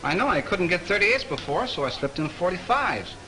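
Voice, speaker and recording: A man's voice: sits high, big pitch swings, fairly quick, a crowd in the background. A plain-spoken prop man. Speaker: property man. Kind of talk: 1930s film acting. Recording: noisy early 1930s film soundtrack.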